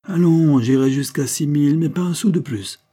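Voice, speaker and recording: A man's voice: mid-range voice, moderate pace, dark tone, slightly rough, voice pushed up, natural voice. A man voicing a fat, middle-aged chemist in an audiobook, blustering and evasive, with colloquial, forced joviality. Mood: blustering, bargaining. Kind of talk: audiobook character dialogue.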